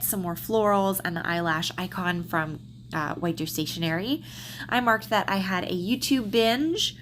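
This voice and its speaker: A woman's voice: lowish voice, fairly bright tone, slight background noise. A slightly congested woman with a Southern accent, chatting casually through a planner voiceover with lots of ums and restarts. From Texas, USA. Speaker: planner vlogger.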